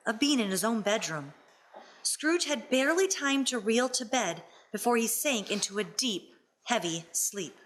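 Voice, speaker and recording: A woman's voice: fairly high pitch, fairly bright tone, poor audio. A woman reading scripted narration for a radio drama.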